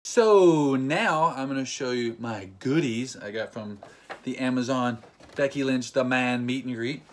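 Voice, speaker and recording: A man's voice: medium pitch, a little slow, slight background noise. A young man casually retelling a fan meet-up in a playful, joking vlog, acting out quotes as he goes. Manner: playful, jokey, animated retelling with acted quotes. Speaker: vlogger.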